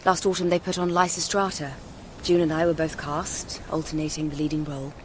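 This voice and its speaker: A woman's voice: sits low, little pitch movement, brisk, slight background noise, natural voice. An actress playing an articulate young Englishwoman, educated, confiding and lightly teasing, in naturalistic radio drama dialogue.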